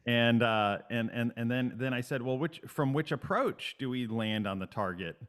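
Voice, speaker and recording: Animated male co-host telling stories. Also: medium pitch, moderate pace, smooth. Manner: animated storyteller, self-mocking. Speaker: podcast co-host. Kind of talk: casual interview chat.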